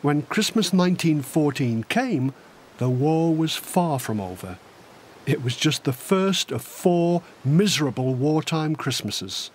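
A man's voice: on the high side, big pitch swings, unhurried, slight background noise. A man reading his own children's history book as a dramatic scripted narration. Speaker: author reading own audiobook.

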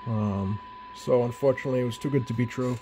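Halting man thinking aloud, noisy room. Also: mid-range voice, dark tone. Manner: halting, thinking aloud while working with hands. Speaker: radio repair hobbyist, video host. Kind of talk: casual unscripted demonstration talk to viewers. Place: indoor home electronics workbench.

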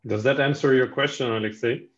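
A man moderating the question round on a call. He runs the session in a friendly, brisk way. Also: medium pitch, steady tone. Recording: dull lo-fi call audio.

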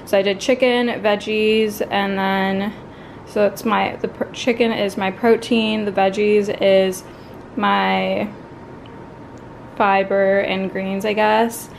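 Young woman vlogging over clear background noise. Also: mid-range voice, flat delivery, slow. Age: 20s. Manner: informal, meandering, thinking aloud. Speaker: lifestyle vlogger. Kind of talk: casual chat to camera. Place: home apartment kitchen, sometimes street. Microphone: handheld or propped camera.

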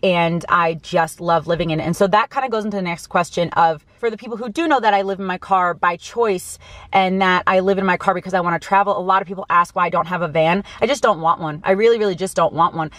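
A woman's voice: fairly low pitch, quick pace, fairly bright tone, no room sound. An American woman in her early thirties talks casually and earnestly to camera as a vlogger, stressing her points, recorded inside a small parked car. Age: early 30s.

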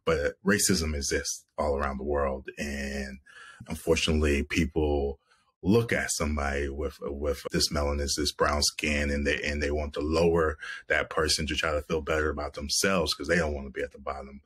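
A man's voice: a bass voice, flat delivery, moderate pace, a bit bright, husky. A man in his thirties, an actor guest on a video call, speaking earnestly and reflectively with frequent restarts. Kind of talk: reflective interview talk. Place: room at home, video call.

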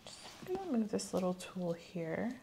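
Woman, ASMR clinical roleplay, soft measured instructions, gravelly fry, some background noise. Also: lowish voice, sing-song. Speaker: ASMR host playing a medical examiner. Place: small indoor room set up as an exam room.

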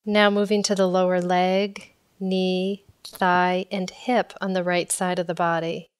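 A woman leading a guided body scan meditation in a calm, measured, instructional way. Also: lowish voice, smooth.

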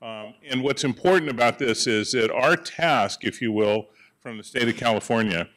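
A man in the audience of a panel, speaking on a handheld mic in a conference room, making a joking, animated comment. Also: mid-range voice. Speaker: audience member, board member of an energy group.

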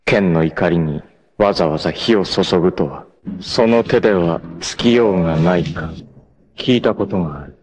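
An actor playing a proud, cool martial arts warrior in a 1980s anime, with a sardonic, dramatic delivery. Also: male voice, low voice, moderate pace, a little mellow, natural voice. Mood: scornful, taunting. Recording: noisy 1980s anime soundtrack.

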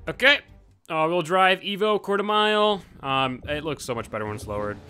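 A man's voice: fairly high pitch, expressive, moderate pace, bright, forceful tone. A young man commenting casually on a racing game as channel host, often breaking into frustrated outbursts and swearing. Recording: game audio and music under voice. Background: car engine sounds and music.

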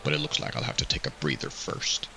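A man voicing a calm, kindly old werewolf with a rumbling, gravelly voice in a fan-dubbed visual novel. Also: fast talker, full character voice, poor audio. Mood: calm, friendly. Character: gruff, kindly old werewolf man, hospital admissions officer. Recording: music behind the voice.

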